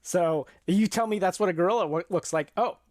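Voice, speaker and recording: A woman's voice: fairly low pitch, fairly quick, darkish tone, no echo. Woman, podcast co-host, casual book and film chat, thoughtful, explanatory, dry room-free sound.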